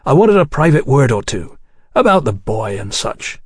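A man playing a gruff, sceptical English field scientist in an audiobook, measured, wry and matter-of-fact. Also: mid-range voice, big pitch swings, darkish tone, dry recording, clean studio sound. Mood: plain, friendly.

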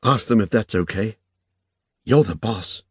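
Man playing a plain, stoic low-voiced hero. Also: measured pace, breathy edge, dry recording, studio quality. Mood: resolute. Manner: plain, unassuming, quiet.

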